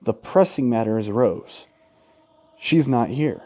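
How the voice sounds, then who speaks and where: A man doing gaming commentary, reading a visual novel aloud with casual, sweary asides and an expressive, dramatic delivery. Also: medium pitch, slow. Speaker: let's play host. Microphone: close gaming microphone.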